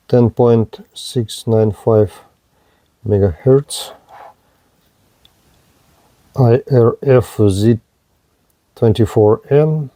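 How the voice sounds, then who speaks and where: A man demonstrates a product in a soft-edged, mellow voice. He speaks very slowly, in short and halting phrases. His delivery is low-key and matter-of-fact, like a practical hands-on review.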